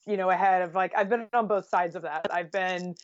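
A woman's voice: lowish voice, steady tone, brisk, no room sound. American woman on a dull, lo-fi recording. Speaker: journalist and author, podcast guest. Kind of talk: casual conversational interview. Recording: dull, lo-fi sound.

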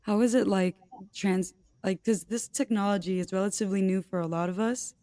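A woman's voice: medium pitch, steady tone, fairly quick, warm tone, no room sound, clean studio sound. A woman hosting a podcast, introducing her guest and asking questions in an upbeat, encouraging way. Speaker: podcast host.

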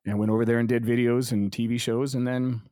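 A middle-aged Canadian man chats easily as an interview guest, laughing often and stumbling over repeated words. His voice sits at an ordinary pitch, and the recording is dry and close. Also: flat delivery, quick pace, dark tone, dry recording. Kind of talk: casual conversation.

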